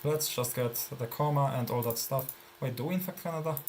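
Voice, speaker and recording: A man's voice: mid-range voice, a little fast, slight background noise. Man with a Polish accent, casual. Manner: thinking aloud, many fillers and trailing phrases. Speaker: gameplay streamer. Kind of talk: casual live gameplay commentary.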